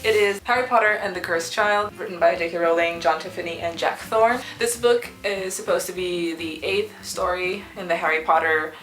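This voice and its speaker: A woman's voice: fairly low pitch, moderate pace, few pauses, fairly bright tone, roomy sound, rough recording. Chatty young woman with a sore throat. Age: young adult. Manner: chatty, rambling with many fillers and restarts. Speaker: book reviewer vlogger. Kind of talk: casual chat. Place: small student apartment room.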